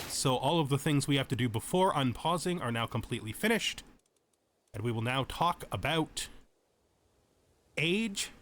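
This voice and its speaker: A man's voice: medium pitch, fairly bright tone. A man giving casual tutorial commentary on a game as its host, explaining things and thinking aloud as he goes, often correcting himself. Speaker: let's play host.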